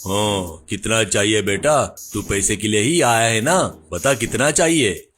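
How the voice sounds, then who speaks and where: A man's voice: on the low side, a little slow, fairly bright tone, a little hiss or hum, voice pushed down. A man voicing a tired, trusting, ageing father in a cartoon moral story, speaking indulgently. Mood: resigned.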